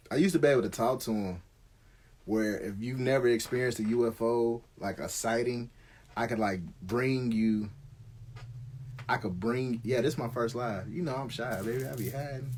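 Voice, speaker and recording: A middle-aged American man with a husky, rough-edged voice at an average male pitch. He talks like a live-streamer telling a story to followers, in loose slang with free swearing. The delivery is casual and conversational. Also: normal speed, darkish tone.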